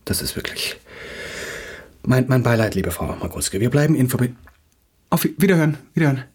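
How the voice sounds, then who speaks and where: A brooding, agitated young playwright in a radio play. His voice is very husky and medium in pitch. He stammers and repeats himself, halting as he goes. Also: big pitch swings, a little mellow. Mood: awkward, shaken. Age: young adult.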